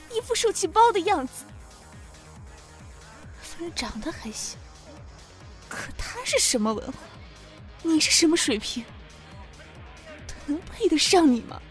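A woman playing a clingy, drunken, scornful young jilted lover in a modern Chinese audio drama, with a dramatic, drawn-out delivery. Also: high-pitched, full character voice.

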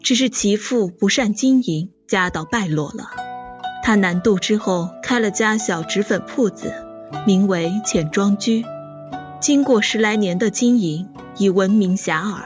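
Woman doing storyteller for audio drama, medium pitch, bit lo-fi, soft plucked music behind. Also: a little slow, lightly acted. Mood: knowing, expository. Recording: slightly dull, lo-fi audio. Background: faint plucked music.

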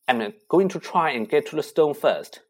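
A reader voicing a desperate, determined schoolboy wizard who shouts in defiance. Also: mid-range voice, expressive, moderate pace, voice pushed up, lightly acted. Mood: defiant.

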